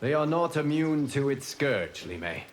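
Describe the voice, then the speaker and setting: An actor playing a solemn, wise guardian god in a video game, a man speaking formally and with measured care. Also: fairly high pitch, very animated. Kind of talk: video game character dialogue. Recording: faint background noise.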